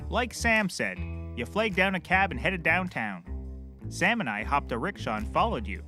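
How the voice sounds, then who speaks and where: A man's voice: fairly high pitch, sing-song, moderate pace, pushed and bright, faint music behind. A man playing a cheerfully clueless, rambling private eye who cracks corny jokes in a scripted comedy. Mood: eager, rambling. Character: bumbling, chirpy, oblivious male puppet-world private eye.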